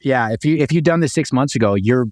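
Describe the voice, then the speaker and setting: A man's voice: medium pitch, lively intonation, fast talker, darkish tone, dry recording, studio quality. A man co-hosting a real estate podcast, chatting casually and upbeat with lots of fillers and restarts. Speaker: podcast co-host.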